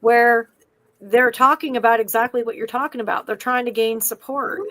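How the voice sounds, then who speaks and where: Middle-aged woman, child advocate, fairly high voice, forceful and repetitive, podcast chat. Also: steady tone, normal speed, dry recording. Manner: passionate, emphatic, repeats her points. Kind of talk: podcast conversation.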